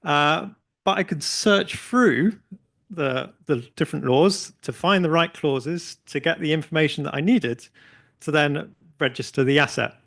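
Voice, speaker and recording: A man speaking as a panelist at an industry forum, relaxed and hesitant with many fillers. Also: on the high side, a little slow, creaky. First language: English. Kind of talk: conversational panel discussion.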